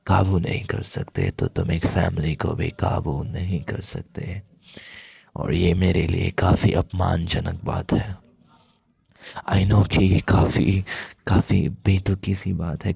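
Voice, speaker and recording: Man playing a gravelly, hesitant lovesick young mobster. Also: deep voice, darkish tone, lo-fi sound, exaggerated voice. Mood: apologetic, reluctant. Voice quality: gravelly, husky, breathy. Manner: hesitant, halting, confiding, slipping into whispers. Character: mafia boss's soft, lovesick son, apologetic and hesitant. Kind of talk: intimate ASMR roleplay monologue.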